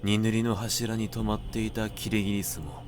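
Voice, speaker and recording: A man narrating a literary audiobook in a measured, deliberate manner with dramatic pauses. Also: fairly low pitch, fairly even intonation, soft background music. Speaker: narrator reading literature aloud.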